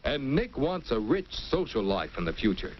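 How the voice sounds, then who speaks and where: A man's voice: medium pitch, big pitch swings, normal speed. A man narrating an old educational film in an earnest, instructive tone. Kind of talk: scripted 1950s film narration. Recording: muffled old film soundtrack with hiss.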